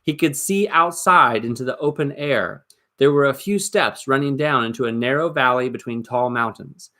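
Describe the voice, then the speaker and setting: A man reading a story aloud as dramatic narration, expressive and suspenseful, with deliberate emphasis. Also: medium pitch. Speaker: storybook reader.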